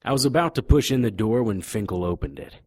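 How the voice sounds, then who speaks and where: An actor playing a deadpan, hardboiled private investigator, narrating and speaking in a noir audio drama. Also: male voice, on the low side, a little fast, very noisy, natural voice. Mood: deadpan, tense. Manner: deadpan, wisecracking.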